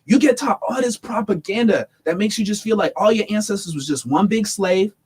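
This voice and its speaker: Podcast host, young man, fairly high-pitched, talks in animated bursts and repeats himself to drive points home. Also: fairly quick, breathy edge. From United States. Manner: animated, emphatic, repeats words while building points. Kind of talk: casual podcast discussion.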